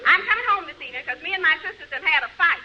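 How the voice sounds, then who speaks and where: A woman playing a bossy, no-nonsense wife in a radio sitcom, brisk and emphatic. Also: extremely high pitch, animated. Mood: brisk, insistent. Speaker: comic actress. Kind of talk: 1940s radio sitcom acting. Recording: muffled, hissy old radio recording.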